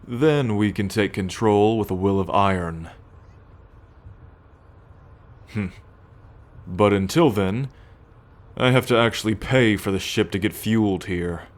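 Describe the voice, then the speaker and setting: A man playing a possessive, haughty alien soldier in an ASMR roleplay, speaking in a measured, imperious way with musing hums and sighs. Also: fairly low pitch, natural voice. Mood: musing, grumbling. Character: possessive male alien soldier, haughty, teasing, coolly menacing captor. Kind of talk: ASMR roleplay acting, addressed to the listener.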